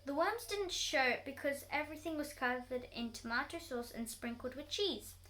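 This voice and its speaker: A woman reading a children's story aloud, somewhat halting and often going back over words. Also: high voice, fairly bright tone. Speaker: storybook reader.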